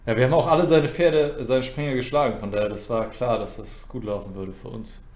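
A man's voice: medium pitch, fairly even intonation, a little fast, a little mellow. Guy hosting a chess stream, easygoing chat, kinda teasing, roomy echo on the voice. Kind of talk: casual livestream chat while playing chess. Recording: roomy, echoing sound.

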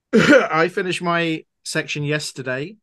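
A man's voice: a little high, slow pace, fairly bright tone, no echo, studio quality. A man giving conversational, explanatory answers as an author being interviewed, talking at length.

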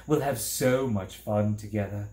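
A man voicing a sly, menacing demon of petty tasks in a storybook, with a drawn-out, theatrical, coaxing delivery. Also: medium pitch, lively intonation, warm tone, roomy sound.

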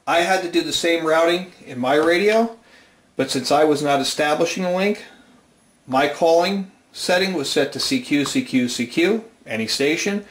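A man's voice: on the high side, moderate pace. An American man presenting an informal technical explanation at a whiteboard indoors, methodically going step by step. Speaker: amateur radio channel host, presenter. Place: small indoor room at a whiteboard. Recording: roomy, reverberant indoor sound.

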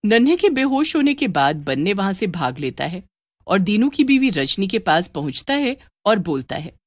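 A woman's voice: mid-range voice, big pitch swings, moderate pace, dry recording, studio quality. A woman narrating a scripted story as its narrator.

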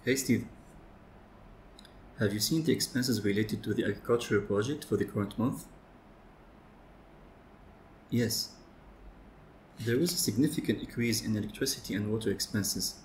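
A man's voice: on the low side, moderate pace, pauses often. A young man doing an office ASMR roleplay, talking in a businesslike way as he works at his desk. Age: young adult. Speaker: ASMR performer playing an office worker. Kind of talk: office ASMR roleplay, muttering while working through figures. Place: office desk by a large window, indoors. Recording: noisy, with constant clicking and rustling. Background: keyboard typing, clicks, steady noise.

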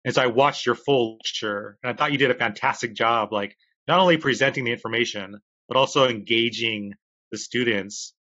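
A man's voice: mid-range voice, brisk, pushed and bright, dry recording. A man in his forties hosting a podcast, asking enthusiastic, chatty questions over a video call from home. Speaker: podcast host. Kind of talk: interview questions. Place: home room. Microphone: earphone headset on video call. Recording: dry, dull video call audio.

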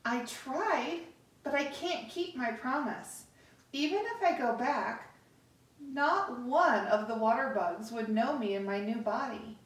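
A woman voicing an earnest male water bug leader from a children's storybook, speaking deliberately in a room. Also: a little high, expressive. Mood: dismayed, resigned. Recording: echoey room, distant microphone.

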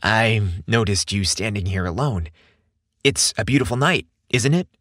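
A man voicing a nervous, hopeful young man who speaks softly and earnestly. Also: fairly low pitch, lively intonation, unhurried, dry recording, studio quality. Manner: soft, hesitant and earnest.

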